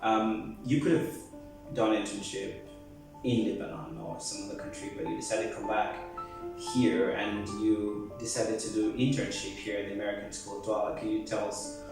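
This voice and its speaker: A man's voice: on the low side, little pitch movement, moderate pace, creaky. A man hosting an interview, giving brief affirmations and announcer-style links between segments. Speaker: interviewer, show host. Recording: echoey room, mic far off, music behind.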